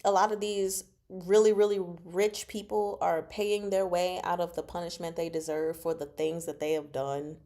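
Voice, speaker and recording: A woman's voice: on the low side, flat delivery, normal speed, hardly any pauses, warm tone. A woman hosting a livestream book discussion, talking in a casual, slangy, emphatic and teasing way, reacting expressively as she goes. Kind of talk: casual chatty book commentary with live chat.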